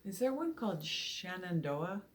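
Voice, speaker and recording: A man's voice: sits high, sing-song, measured pace, a bit bright. A man chatting casually as a member of a small audience. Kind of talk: casual chat.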